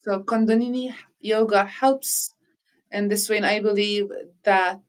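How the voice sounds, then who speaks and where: Earnest woman speaking in measured phrases. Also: mid-range voice, steady tone. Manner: measured, earnest, with frequent restarts. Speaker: interviewee on healing practice. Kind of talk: reflective conversational talk.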